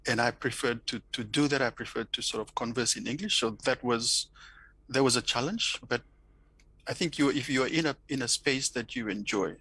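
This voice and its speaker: A man's voice: medium pitch, normal speed, bright, forceful tone, husky, no echo, rough recording. A middle-aged man from Fiji, speaking English with a Fijian accent, reflecting thoughtfully in an interview with many restarts and repeated words. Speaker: interview guest, academic.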